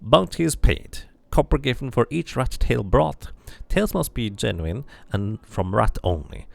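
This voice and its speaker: A man's voice: medium pitch, lively intonation, unhurried, gravelly, no room sound. A man voicing a formal, officious crier declaiming public notices from a fantasy game. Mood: officious, declamatory.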